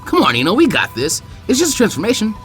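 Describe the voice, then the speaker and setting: A man's voice: sits high, huge pitch swings, quick pace, a bit bright, soft background music, pitched above the performer's own voice, exaggerated voice. A brash teenage ninja boy, voiced by a man. He talks in a cocky, upbeat way, full of bragging confidence.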